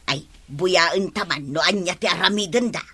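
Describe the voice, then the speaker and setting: A woman's voice: on the low side, normal speed, pushed and bright, breathy edge, heavily acted. A woman acting a skeptical village housewife in a comedic radio drama, speaking with an Ilocano accent. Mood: curious gossiping.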